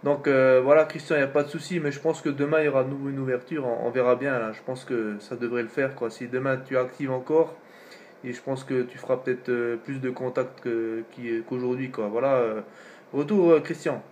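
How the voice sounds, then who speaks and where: A man's voice: mid-range voice, steady tone, a little fast, darkish tone, a little hiss or hum. A man chatting casually as an amateur radio operator, colloquial and repetitive, with lots of little tag words. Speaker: amateur radio operator filming his contacts. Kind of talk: casual radio contact chat.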